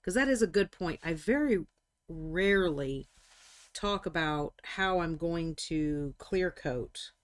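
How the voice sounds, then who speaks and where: A woman in her forties chatting casually while painting miniatures on a live stream, talkative, joking about herself and quick to apologize. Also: lowish voice, animated. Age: 40s.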